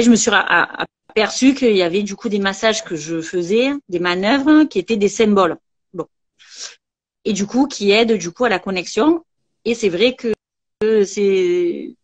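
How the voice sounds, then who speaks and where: A woman's voice: mid-range voice, no echo. A woman with a southern French accent, a massage trainer talking casually in an interview, with many fillers and restarts. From southern France.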